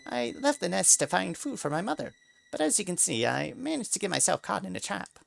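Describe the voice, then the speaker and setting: A man's voice: high-pitched, very animated, fairly quick, vocal fry, pitched above the performer's own voice, heavily acted. A man voicing a sly talking mouse that pleads politely and later turns into a boastful griffin. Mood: pleading. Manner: pleading and overly polite, then gloating.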